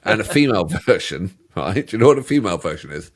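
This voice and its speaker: A man's voice: deep voice, very animated, fast. A middle-aged man, co-hosting a reaction video, joking and laughing often in casual banter.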